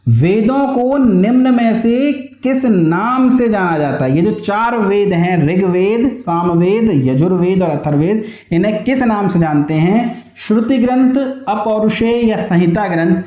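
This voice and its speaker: High-pitched man teaching, echoey room. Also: lively intonation, unhurried, dark tone. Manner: explanatory, asks questions and answers them himself, repeats key terms. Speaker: teacher of exam preparation classes. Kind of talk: online history lesson with question and answer drilling.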